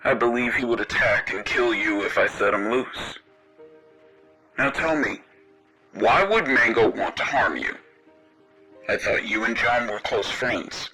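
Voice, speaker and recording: A man voicing a taunting, menacing police detective in an audio story, speaking in a sardonic, deliberate, threatening way. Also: mid-range voice, bright, forceful tone, faint music behind, pitched below the performer's own voice. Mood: probing.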